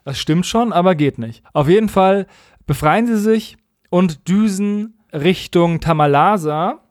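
A man's voice: high voice, a little slow, no echo, studio quality. A man recapping stories as a podcast co-host in a colloquial, humorous, mockingly opinionated way. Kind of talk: casual humorous episode recap.

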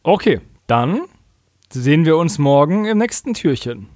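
A man's voice: fairly high pitch, very animated, unhurried, a little mellow, dry recording. A man in casual chat as a podcast co-host, with a dry, wry humour.

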